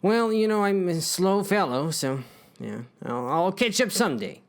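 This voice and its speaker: A performer voicing a slow, dim-witted, giggly burglar man in a comic story. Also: medium pitch, expressive, natural voice. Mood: sheepish. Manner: giggly, sheepish, dopey.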